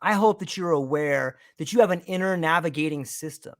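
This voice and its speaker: A man's voice: on the high side, fairly quick. A man hosting a podcast, introducing his guest with showman-like enthusiasm. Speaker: podcast host. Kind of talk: podcast interview.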